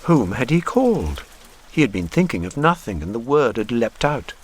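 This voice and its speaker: An actor playing a measured male narrator in a period literary radio drama. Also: medium pitch, big pitch swings, noisy background, close to an everyday voice.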